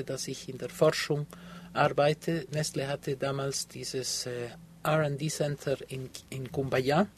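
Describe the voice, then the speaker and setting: Husky man in his fifties, hesitant. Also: medium pitch, darkish tone. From Ecuador. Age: 50s. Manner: hesitant, many filler sounds. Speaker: guest, photographer. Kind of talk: interview answers.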